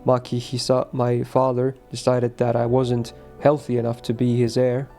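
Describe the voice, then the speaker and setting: A man reading the lines of a quiet, withdrawn teenage schoolboy from a visual novel in a calm, matter-of-fact way. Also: mid-range voice, normal speed, faint music behind, close to an everyday voice. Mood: subdued.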